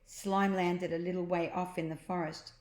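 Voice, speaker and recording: A woman's voice: fairly low pitch, flat delivery, moderate pace, darkish tone. Echoey woman reading a children's storybook. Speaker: storybook reader. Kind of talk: children's read-aloud narration with asides about the pictures. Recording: echoey, roomy home recording.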